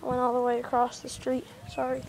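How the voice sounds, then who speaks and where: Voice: sits high, monotone, fast talker, vocal fry. Someone giving casual, offhand commentary on what they are doing, as an amateur filming outdoors. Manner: offhand, narrating actions as they happen. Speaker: amateur video maker. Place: outdoors in a yard by a street. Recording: noisy amateur camcorder audio.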